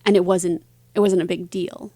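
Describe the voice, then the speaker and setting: A woman being interviewed as an author, answering conversationally in a reflective way with halting phrasing. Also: on the low side, steady tone, warm tone, no echo.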